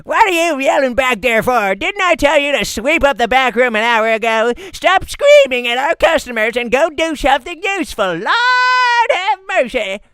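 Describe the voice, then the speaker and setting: A man voicing a bossy older woman, a shopkeeper mother scolding in a shrill, exasperated way in a visual novel. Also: big pitch swings, moderate pace, hardly any pauses, pushed and bright, no room sound, studio quality, heavily acted. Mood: furious scolding.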